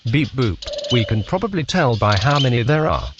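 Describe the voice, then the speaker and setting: A woman's voice: rumbling low, big pitch swings, normal speed. A woman voicing a polite, earnest male robot crewmate with a stilted delivery in a sci-fi audio comedy. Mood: matter-of-fact. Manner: stilted, bookends speech with robotic beeps. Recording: dull lo-fi sound with faint noise.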